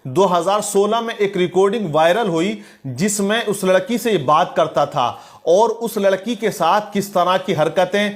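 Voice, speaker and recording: A man's voice: high voice, a little slow, few pauses. A man presenting online news commentary in a preachy, denunciatory manner, quoting religious sayings.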